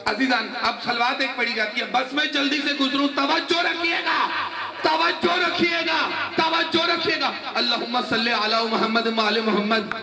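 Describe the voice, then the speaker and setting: High-voiced man preaching with shouts. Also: fairly even intonation, normal speed. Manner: rhetorical questions, repeats phrases, rises to shouts. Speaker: majlis speaker. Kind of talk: religious sermon to a gathering. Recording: echoey, distant mic, crowd behind.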